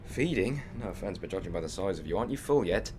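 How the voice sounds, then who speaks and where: A man playing a quick-witted, defiant human time traveller in a sci-fi audio drama, sardonic and chatty as he thinks aloud. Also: low voice, animated, noisy background. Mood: wry defiance. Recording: music behind the voice.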